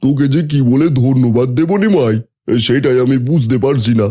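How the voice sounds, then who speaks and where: A man voicing a jovial, mellow village trader. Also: medium pitch, fairly quick, natural voice. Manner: friendly, jovial, teasing. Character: middle-aged village chicken trader Ratan.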